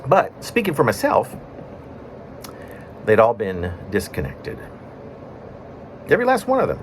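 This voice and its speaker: A man reading his own poem in a conversational storytelling way, breaking it into short phrases with pauses. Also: medium pitch, huge pitch swings, a little hiss or hum, poor audio. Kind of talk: spoken-word poetry reading, conversational storytelling.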